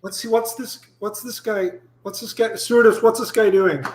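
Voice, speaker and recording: A man's voice: sits high, steady tone, normal speed, darkish tone, reverberant, natural voice. A man in live improv playing flustered, fearful characters who stammer. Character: nervous, fearful company boss.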